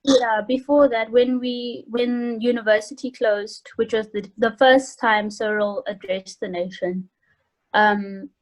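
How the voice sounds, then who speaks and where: A woman's voice: fairly high pitch, fairly even intonation, slow pace, low-quality recording. A woman in her early twenties with a South African accent chats casually and hesitantly over a video call, in an informal student way. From South Africa, Johannesburg. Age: early 20s. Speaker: interview guest, university student. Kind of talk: casual chat. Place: home room indoors.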